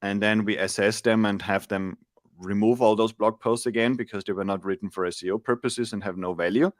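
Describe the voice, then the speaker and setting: Austrian-born man, forties, guest; low voice, dry close sound, patient explainer who restarts sentences. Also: steady tone, a little fast, dry recording. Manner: thoughtful, explanatory, with frequent restarts. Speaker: guest, SEO agency founder. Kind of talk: casual interview chat. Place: home room, indoors. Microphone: large studio mic on arm.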